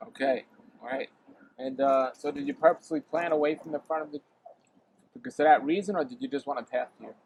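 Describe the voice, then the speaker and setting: A male host interviews a grower outdoors in a yard, chatty and quick with follow-up questions. His voice sits a little above average pitch for a man, with faint background noise in the open air. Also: a little mellow. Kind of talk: casual interview.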